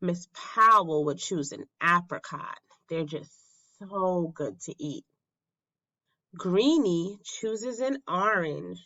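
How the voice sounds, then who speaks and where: Slow-paced woman reading to young children. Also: fairly low pitch, lively intonation, pushed and bright, highs cut off. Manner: deliberate, simple phrasing for young children, asking questions. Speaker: elementary school teacher reading aloud. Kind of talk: read-aloud of a children's picture book.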